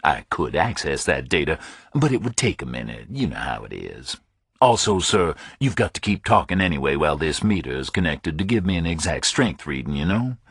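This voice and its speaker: A man's voice: a bass voice, sing-song, a little fast, vocal fry, a bit bright, breathy edge, no echo, clean studio sound, heavily acted. A man voicing a worried detective posing as a phone engineer, politely stalling with a caller. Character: uneasy male detective faking a phone-company call.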